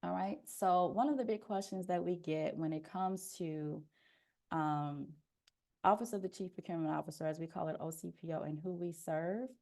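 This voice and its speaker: A soft-spoken woman presenting an informational webinar as a procurement officer, explaining steadily with frequent "um" fillers, speaking into a headphone mic on a video call. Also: lowish voice, moderate pace, creaky.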